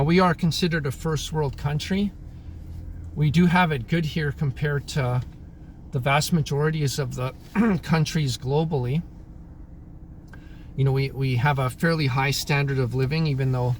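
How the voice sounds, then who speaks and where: A man in his thirties, originally from Croatia, talking through his opinions in a casual monologue, pausing often and clearing his throat. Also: mid-range voice, a little hiss or hum. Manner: halting, frequent throat clearing and restarts. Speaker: vlogger. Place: in Alberta, Canada.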